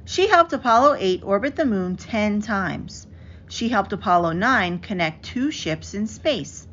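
A woman reads a children's picture book aloud in an expressive, carefully enunciated storytelling manner. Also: medium pitch, unhurried. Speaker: storybook reader. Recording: dull lo-fi audio with clear background noise.

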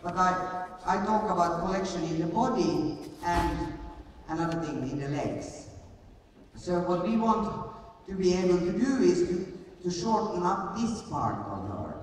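A woman's voice: on the low side, expressive, measured pace. A middle-aged woman working as a riding coach, explaining and demonstrating in a hands-on way at a live clinic. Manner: hands-on, explanatory, repeating short commands. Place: large indoor riding arena. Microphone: picked up from far camera in audience. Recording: distant, very echoey arena, background noise.

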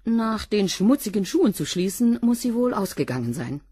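A woman's voice: mid-range voice, sing-song, normal speed, dark tone, slightly rough, dry recording. An actress playing a blunt, guarded cleaning woman in a radio drama, answering in a dry, slightly defiant way. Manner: dry, curt, a bit defiant.

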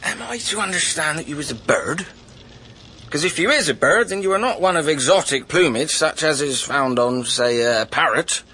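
Bright, swaggering highwayman rogue. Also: animated, moderate pace, heavily acted. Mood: theatrical boasting. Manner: florid archaic speech, rough grammar, taunting. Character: swaggering ghostly highwayman with antique pistol, playfully menacing. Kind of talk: radio drama acting.

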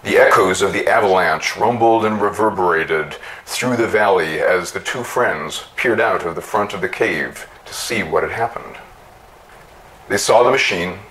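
Male narrator, lowish voice, dramatic and deliberate story reading, some room noise. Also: fairly bright tone, poor audio. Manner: theatrical, deliberate. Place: small room with bookshelves.

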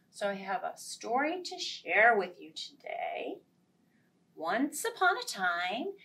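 A woman storyteller with a highish, very animated voice tells a children's tale. She takes her time and drops into hushed phrases, recorded a little way from the microphone. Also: distant mic. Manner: slow, expressive, with hushed phrases. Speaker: children's library storytime host. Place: small indoor storytime set.

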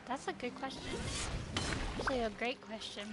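A woman's voice: on the high side, moderate pace. A woman streamer giving casual, slangy, teasing reactions while playing a game. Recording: game music under the commentary.

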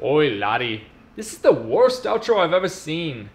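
Man putting on a loud, high-pitched heckler. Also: very animated, moderate pace. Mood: scolding, commanding. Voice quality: unsteady, shifting put-on accent. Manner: hectoring, emphatic, bossy. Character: loud, scolding blanket-wrapped heckler who calls everyone laddie.

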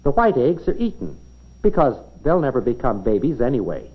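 A man's voice: mid-range voice, very animated, a little fast, husky. An American man reads scripted 1950s educational film narration, explaining things simply like a storyteller for children. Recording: dull, band-limited 1950s film soundtrack.

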